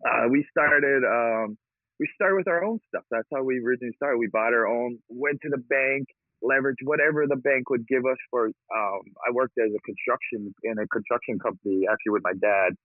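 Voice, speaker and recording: A man's voice: mid-range voice, fairly quick, dry recording. A man from Hawaii, a real estate investor guest, talking casually and upbeat with frequent laughs over a call. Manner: upbeat, laughs often, energetic. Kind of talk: casual interview talk. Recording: tinny phone-like line, dry.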